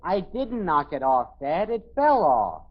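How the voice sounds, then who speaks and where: An actor playing a defensive teenage son with a boyish, protesting manner in a 1950s radio sitcom. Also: male voice, fairly high pitch, huge pitch swings, measured pace, exaggerated voice. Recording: muffled old radio sitcom recording.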